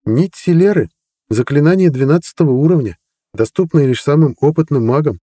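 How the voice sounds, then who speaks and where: A man playing a sly, learned prince, higher than his own voice, teasing and ironic. Dark tone, dry and close. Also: brisk, husky, no room sound. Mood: lecturing.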